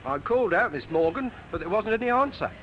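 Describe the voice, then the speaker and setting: Polite post boy, high quick voice, old muffled radio drama. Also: expressive. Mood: polite, hesitant. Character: polite boy postal messenger, hesitant and eager. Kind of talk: 1950s radio drama acting. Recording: muffled, noisy old 1950s radio recording.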